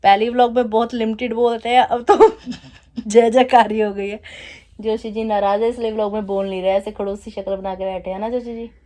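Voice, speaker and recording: A woman in her thirties talks straight to her viewers in a casual home vlog, with a slightly high voice. She chats easily and teasingly, laughing as she goes. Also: flat delivery, fairly quick, mellow. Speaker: vlogger. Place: home at night, DJ music playing outside.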